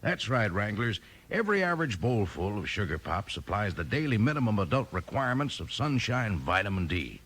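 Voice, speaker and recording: A folksy cowboy salesman for a 1950s radio commercial. His low, husky voice swings up and down in lively, enthusiastic patter aimed at kids. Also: fairly quick, few pauses, heavily acted. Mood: upbeat, folksy. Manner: folksy, bouncy sales talk. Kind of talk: 1950s radio cereal advertisement.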